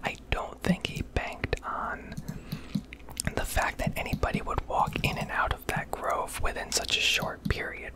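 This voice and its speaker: An American woman rambling candidly and casually in a breathy ASMR whisper, swearing now and then, recorded on a close microphone. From United States. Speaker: ASMR video creator.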